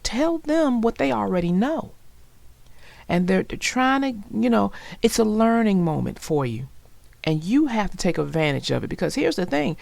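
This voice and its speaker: Slow, animated woman storyteller. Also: fairly high pitch, creaky, dry recording. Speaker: children's storybook narrator. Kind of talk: read-aloud storytelling and talking to children.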